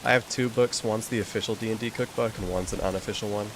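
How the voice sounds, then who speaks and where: A man's voice: fairly low pitch, steady tone, fast talker, background noise. A young man streaming a game, chatting casually in a rambling way with many fillers. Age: young adult. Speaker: gaming streamer. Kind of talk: casual chat while playing a game.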